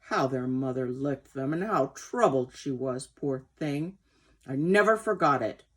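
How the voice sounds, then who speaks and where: A woman voicing a gentle but indignant old male horse in a storybook, speaking in an emphatic, aggrieved and dramatic way. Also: very deep voice, expressive, unhurried, slightly rough, full character voice. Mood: mournful, aggrieved.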